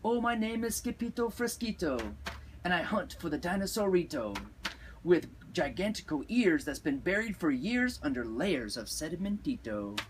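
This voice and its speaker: Man putting on an excitable high-pitched kitten boy. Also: measured pace, a little hiss or hum. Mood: singsong, boastful. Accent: mock Spanish. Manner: exclamatory, dramatic, singsong. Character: boisterous little kitten boy playing a Chihuahua swordfighter.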